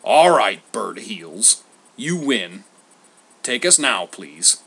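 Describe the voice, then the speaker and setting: A man voicing a cranky old male poet in a storybook, with a blustering, gruff, grumbling way of talking. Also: fairly low pitch, sing-song, takes it slowly, a bit bright, exaggerated voice. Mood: grudging, gruff.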